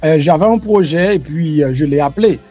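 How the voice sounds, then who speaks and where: Haitian guy hosting a video interview, kinda high and mellow voice, lots of umms and restarts, quiet music behind. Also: fairly quick, husky. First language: Haitian Creole. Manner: hesitant, many restarts and fillers. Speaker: YouTube host interviewing a lawyer. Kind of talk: casual interview.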